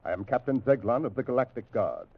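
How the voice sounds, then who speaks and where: Stern alien captain, tinny old radio. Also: male voice, mid-range voice, expressive, slightly rough. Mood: formal, commanding. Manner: formal, measured, imperious declarations. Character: stern alien spaceship captain of a galactic guard. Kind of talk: 1950s radio science fiction drama acting.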